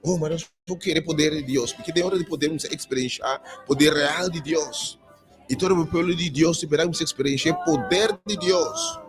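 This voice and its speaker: Fervent preacher praying over music. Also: male voice, a little fast. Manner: impassioned, drops low and soft on "Senhor". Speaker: preacher leading prayer. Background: music playing.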